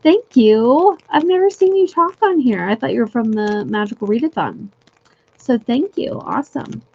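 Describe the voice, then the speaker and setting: A young woman chatting casually with viewers on a livestream from home, giggly and full of fillers, her voice going a little hoarse. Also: fairly high pitch, animated, normal speed, darkish tone, highs cut off. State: losing her voice. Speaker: livestream host. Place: home room.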